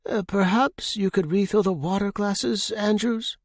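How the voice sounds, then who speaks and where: A man's voice: high voice, fairly even intonation, measured pace, no room sound, clean studio sound, pitched above the performer's own voice. A man voicing a genteel older wealthy lady in an audiobook, gracious but fretful, with mannered, polite, delicate speech. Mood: reproachful. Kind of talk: audiobook character voice.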